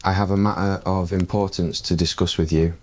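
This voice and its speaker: Un homme qui joue un jeune roi assuré et taquin, à la voix basse et à l'aplomb tranquille. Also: male voice, fairly quick. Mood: smug, confident. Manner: low, smug and relaxed. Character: confident, teasing young male king.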